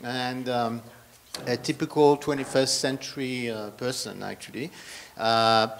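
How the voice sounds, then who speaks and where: A man's voice: mid-range voice, steady tone, measured pace, creaky, slight background noise. A man from Iran speaking as a scholar on a panel, hesitant and full of fillers. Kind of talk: panel talk.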